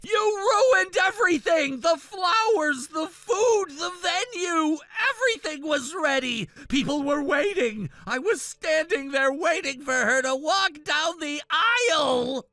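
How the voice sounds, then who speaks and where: An actor voicing a desperate, angry young groom who shouts and defends himself frantically. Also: medium pitch, big pitch swings, measured pace, creaky, hardly any pauses, no echo, full character voice.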